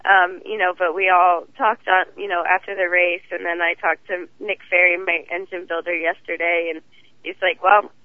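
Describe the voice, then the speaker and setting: A hoarse woman with a cold, talking as the guest in a phone interview, chatty and rambling. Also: fairly low pitch, flat delivery, normal speed, no echo. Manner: chatty, rambling, laughs easily. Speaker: guest champion driver. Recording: tinny phone line.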